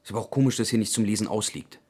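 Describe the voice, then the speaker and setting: A man's voice: fairly low pitch, very fast, darkish tone, natural voice. An actor playing a restless, bored man in his forties, hesitant but insistent, in a radio play. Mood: politely restless. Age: 40s. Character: restless, bored 40-year-old man, politely pestering strangers. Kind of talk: German radio play acting.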